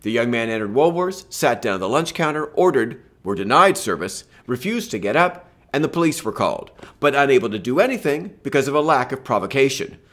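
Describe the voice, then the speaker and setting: A man's voice: mid-range voice, big pitch swings, a little fast, few pauses, a bit bright. Older man narrating a history lesson. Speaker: teacher presenting a history lesson. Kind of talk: scripted narration. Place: home room with bookshelf and lamp.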